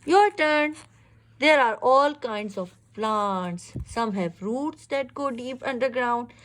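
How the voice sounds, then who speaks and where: Slow, animated woman reading to children. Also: a little high, slight background noise. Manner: expressive, instructive, exclaims and checks understanding. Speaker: reader teaching a young child. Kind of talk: children's picture-book read-aloud with questions.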